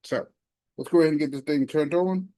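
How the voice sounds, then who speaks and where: A man's voice: a little high, fairly quick, mellow, dry recording. A man reviewing a gadget casually and hands-on, talking himself through each step in a folksy, emphatic way. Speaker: product reviewer.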